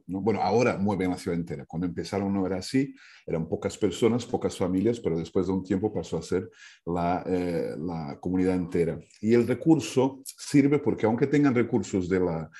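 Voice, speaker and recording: A man's voice: on the low side, warm tone. A middle-aged man from Brazil with a Brazilian accent, a native Portuguese speaker, explaining things informally like a storyteller on a headset during a video call, often adding short tag questions. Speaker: speaker sharing an idea. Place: indoor room, video call. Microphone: wired earphone headset.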